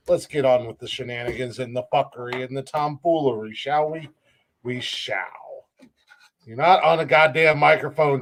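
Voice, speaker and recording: Man, young adult, podcast host, casual comedy chat, swears a lot, laughs often, slightly high voice.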